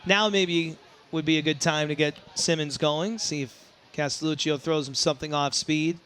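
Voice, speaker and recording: A man's voice: a little high, steady tone, normal speed, fairly bright tone, clean tone. A man doing live baseball play-by-play as the announcer, in short, emphatic phrases. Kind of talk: live sports play-by-play commentary.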